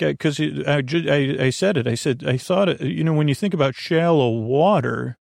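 A man hosting a sleep podcast, rambling in a meandering, halting way, stammering and restarting his sentences, with a creaky voice. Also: mid-range voice, dry recording, clean studio sound. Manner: meandering, halting, stammering with repeated false starts. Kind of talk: rambling bedtime monologue.

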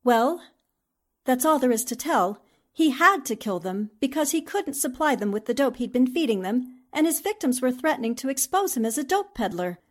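A woman's voice: sits high, big pitch swings, normal speed. A woman playing a plucky, determined newspaper reporter in a 1940s-style radio drama, with an earnest, dramatic delivery. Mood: explaining.

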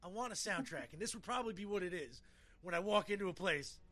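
A man's voice: high voice, animated, quick pace, bright, forceful tone, faint music behind. A man hosting a podcast in casual, rambling banter, joking and swearing freely. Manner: jokey, profane, rambling. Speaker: podcast host.

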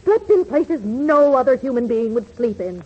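A woman playing a bossy, excitable middle-aged mother with imperious airs, in 1940s radio comedy style. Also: a little high, moderate pace, slightly rough. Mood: excited, gushing. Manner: imperious, gushing. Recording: muffled 1940s radio recording.

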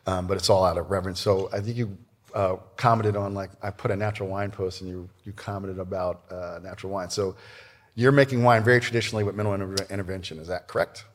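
Guy podcast host, lowish voice, snarky and informal, casual interview chat. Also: fairly even intonation, fast.

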